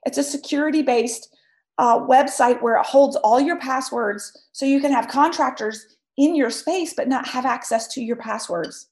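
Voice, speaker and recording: A woman with a high voice, made scratchy by a cold, hosts a solo podcast. She talks emphatically and right at her listeners, and the sound rings in an echoey room. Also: moderate pace, darkish tone. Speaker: podcast host. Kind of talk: solo podcast talk, advice.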